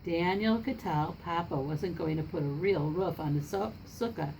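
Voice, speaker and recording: Low-pitched woman reading a children's storybook. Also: normal speed, gravelly, a little mellow, a little hiss or hum, poor audio. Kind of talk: expressive read-aloud of a picture book.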